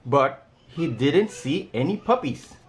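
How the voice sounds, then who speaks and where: A man's voice: a little high, expressive, slow pace. Man reading a children's picture book aloud. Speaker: storybook reader.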